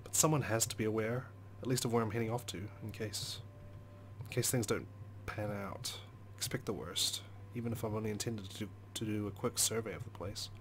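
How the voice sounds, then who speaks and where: A man's voice: lowish voice, fairly even intonation, fairly quick, a little hiss or hum. A man hosting a let's play, reading a visual novel's story aloud as dramatic, brooding narration.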